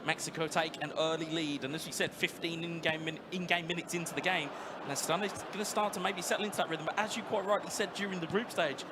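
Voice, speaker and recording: A man calling live esports football play by play, rapid and rising to shouts at big moments. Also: a little high, fairly even intonation, background noise. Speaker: play-by-play commentator.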